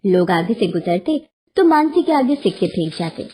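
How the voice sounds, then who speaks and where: A woman's voice: medium pitch, very animated, normal speed, dark tone, slight background noise. A woman storyteller giving scripted narration of a children's moral story.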